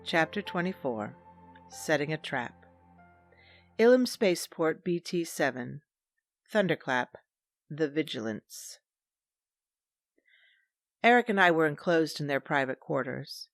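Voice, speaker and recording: A woman's voice: low-pitched, lively intonation, a little slow, long pauses, dry recording. An actress playing a cold, vengeful female commander who narrates and plots in a space audio drama. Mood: measured narration. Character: cold, scheming vengeful woman, former Sith commander. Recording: dry studio with music underneath.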